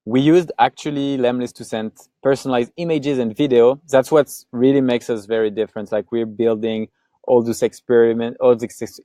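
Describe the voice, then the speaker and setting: A man's voice: mid-range voice, warm tone, dry recording. A man with a French accent hosts a webinar, talking casually as he walks through his slides. His voice sits in a middle range, and the sound is dry and close with no room around it. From France. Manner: chatty, lots of "like" and restarts. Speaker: co-founder presenting a webinar.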